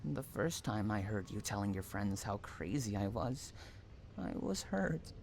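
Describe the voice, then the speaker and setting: A man's voice: fairly low pitch, expressive, a little slow, vocal fry, a little mellow, slight background noise. A man acting an obsessive, unhinged stalker in an audio roleplay, cooing pet names and drawing out words between tenderness and anguish. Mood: wounded, obsessive. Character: obsessive, unhinged male stalker lover, sweetly possessive and menacing. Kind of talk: dramatic roleplay audio monologue.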